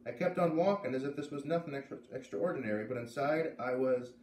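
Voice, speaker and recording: A man's voice: medium pitch, a little fast, a little mellow, far from the mic. A man in his thirties narrates a children's novel from a living room. The camera mic sits a little way off, so the room echoes around him, and there is faint noise underneath. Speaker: storyteller reading a children's novel aloud. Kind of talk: read-aloud narration with casual asides. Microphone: camera a few metres away.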